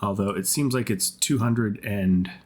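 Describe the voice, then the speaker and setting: A man giving casual gaming commentary in a rambling, think-aloud way, his voice rough from repeated colds. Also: on the low side, measured pace, a little mellow. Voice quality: husky, rough voice. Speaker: game content creator.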